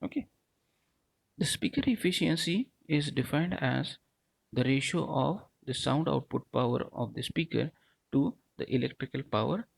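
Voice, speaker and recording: A man's voice: mid-range voice, vocal fry, dry recording, rough recording. A man giving a technical lecture in a didactic way, often punctuating his points with short check-in words. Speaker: teacher, lecturer.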